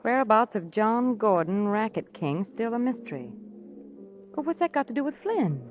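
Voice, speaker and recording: Sleuth's witty lady companion: arch, curious, lively intonation, dull old radio sound. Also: on the high side, moderate pace, faint music behind. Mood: inquisitive. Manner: arch, quipping, poised. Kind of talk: old-time radio drama acting.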